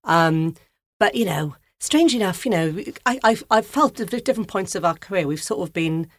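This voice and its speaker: A woman's voice: lowish voice, animated, fairly quick, no echo. Chatty Welsh woman hosting a podcast. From Wales. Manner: chatty, warm-hearted with frequent ums and laughs. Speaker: podcast host. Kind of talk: casual conversational interview. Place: studio.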